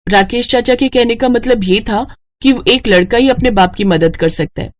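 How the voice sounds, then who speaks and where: Woman as young village sister, earnest, determined, raised pitch, exclamatory, cartoon moral tale. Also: moderate pace, lightly acted.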